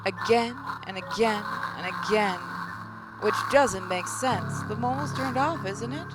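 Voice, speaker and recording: A woman's voice: mid-range voice, a little slow, loud music behind the voice. A woman reading scripted first-person visual novel narration in a wry, theatrical storytelling manner.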